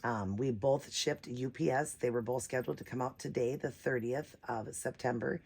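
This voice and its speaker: Raspy hoarse lady rambling thru an unboxing, lots of ums, gravelly edge. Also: very deep voice, moderate pace, darkish tone. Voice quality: naturally raspy voice. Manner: chatty, rambling, many fillers. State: hoarse from a cold. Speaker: reborn doll channel host. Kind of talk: casual unboxing vlog.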